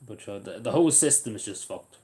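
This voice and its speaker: A man's voice: fairly low pitch, expressive, brisk, warm tone. A man chatting casually on a livestream, rambling and hesitant with lots of fillers.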